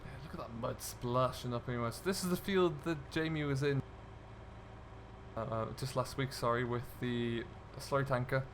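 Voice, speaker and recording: A man's voice: mid-range voice, moderate pace. Chatty man over noisy vehicle sounds. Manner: chatty, easygoing running commentary addressed to viewers. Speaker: host, gaming video creator. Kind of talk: casual let's-play commentary of a farming simulator.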